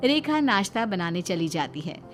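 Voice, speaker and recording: A woman's voice: fairly low pitch, very animated, normal speed, music in the background. A woman narrator reading a scripted family story.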